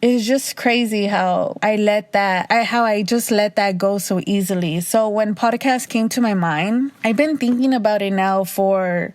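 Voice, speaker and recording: A young woman with a raspy voice hosting her own podcast, talking loosely and casually, swearing freely, a little tipsy. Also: mid-range voice, darkish tone, dry recording. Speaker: podcast host. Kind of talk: casual solo monologue.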